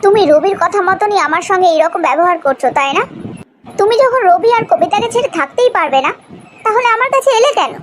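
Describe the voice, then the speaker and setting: Casting: woman as self-centred, easily offended young woman, cartoon drama, very high pitch. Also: expressive, fast, a little hiss or hum. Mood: indignant.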